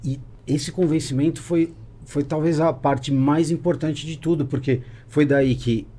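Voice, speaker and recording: A man's voice: fairly high pitch, steady tone, unhurried, dark tone. A Brazilian man speaking casually as a podcast guest about business, telling stories with repetitions and self-interruptions. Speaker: podcast guest, natural food store entrepreneur.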